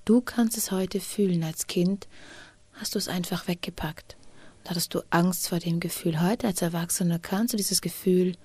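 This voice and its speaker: A woman guides a slow meditation, half her words breathed out as a soft whisper. Her voice sits a little low and sounds husky, captured dry and close with no room around it. She lets long pauses fall between short, gentle phrases. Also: dry recording. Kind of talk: guided inner-child meditation.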